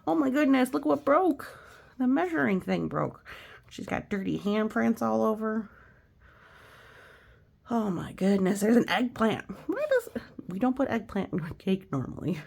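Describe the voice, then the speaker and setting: A woman with a gravelly fry in her voice walks children through a picture book at an unhurried pace. She is chatty and exclamatory, adding simple explanations, and her phrases often fall away to a near whisper. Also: fairly high pitch, big pitch swings, a little mellow. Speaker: storyteller reading a picture book aloud. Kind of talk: children's read-aloud with casual explanatory asides.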